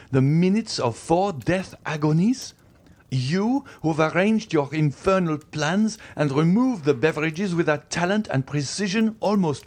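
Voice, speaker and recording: A man's voice: fairly low pitch, a little mellow. A man reading an audiobook, voicing a stern magistrate husband who accuses his wife with cold contempt and climbing fury. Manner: accusing, rising to furious outbursts.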